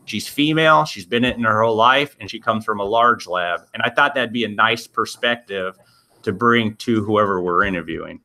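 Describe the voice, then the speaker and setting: Man, podcast host on a video call, bright forceful voice, rambling and self-deprecating. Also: on the low side, normal speed. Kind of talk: casual interview chat.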